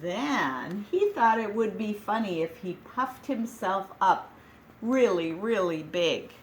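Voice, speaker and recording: A woman reading a children's picture book aloud, gentle and expressive, with dramatic pauses. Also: medium pitch, a little hiss or hum.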